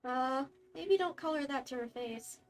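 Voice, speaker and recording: A woman's voice: sits high, measured pace, soft background music. A woman streaming a game, reacting casually and reading dialogue aloud.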